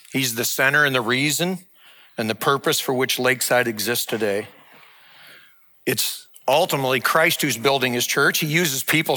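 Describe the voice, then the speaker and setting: A man in his sixties, a lead pastor, giving a sermon and announcement in an earnest, measured, heartfelt way. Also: mid-range voice, pushed and bright. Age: 60s.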